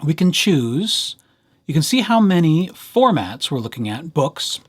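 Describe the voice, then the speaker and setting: Man with a lively, swooping tutorial delivery. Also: on the high side, a little slow, husky. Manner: playful, dryly humorous asides. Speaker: library presenter, tutorial host. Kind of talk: casual screen-share software tutorial.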